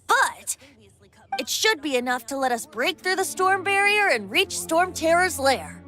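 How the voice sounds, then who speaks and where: A woman's voice: very high-pitched, sing-song, a little slow, bright, forceful tone, heavily acted. A woman voicing a playful, theatrical young male bard in a video game, speaking eloquently like a storyteller. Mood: playful, confident. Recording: music under the voice.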